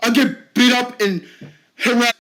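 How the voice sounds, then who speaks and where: A bright, high-pitched middle-aged man at the end of his rope, yelling and breaking into sobs, as a man acts him out. He drags his words slowly, shouting through tears in an amateur drama. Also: steady tone. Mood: distraught. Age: 50s. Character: bullied fifty-year-old man, desperate and tearful.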